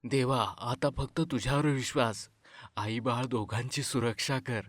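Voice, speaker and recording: A woman voicing a worried grown man praying earnestly, pitched far below her own voice, in an animated storybook. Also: unhurried, no echo, clean studio sound. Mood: prayerful.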